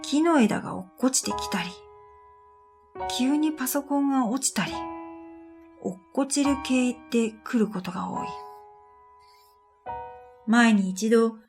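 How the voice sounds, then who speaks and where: Woman, slightly high voice, scripted story narration, matter-of-fact, introspective, soft music bed. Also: animated, measured pace, pauses often. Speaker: narrator of a radio drama.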